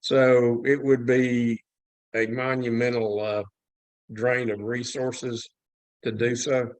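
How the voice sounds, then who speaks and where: A man on a group call explaining things casually in a halting, deliberate way. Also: medium pitch, little pitch movement, no room sound. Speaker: participant in a software community call.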